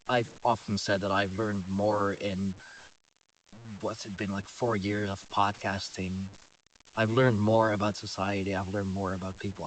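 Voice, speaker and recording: A man with a Dutch accent and a low voice hosts a podcast, speaking English as his second language. He hesitates and restarts often, and a faint hiss sits under the recording. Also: a bit bright, rough recording. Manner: hesitant, many fillers and restarts. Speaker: podcast host. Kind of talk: casual podcast conversation.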